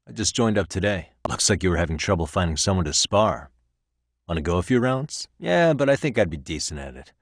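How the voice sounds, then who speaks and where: A deep voiced video game detective dude, easygoing but principled, sure of himself, super dry studio sound. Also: male voice, dry recording. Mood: casual, assured. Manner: casual, self-assured, earnest. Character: Takayuki Yagami, cool, principled, easygoing male investigator. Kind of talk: English video game voice acting.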